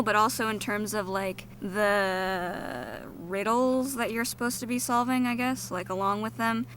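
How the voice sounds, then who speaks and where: A woman's voice: fairly high pitch, a bit bright, a little hiss or hum. A woman co-hosting a podcast, giving casual film critique in a frank, informal manner. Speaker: podcast co-host.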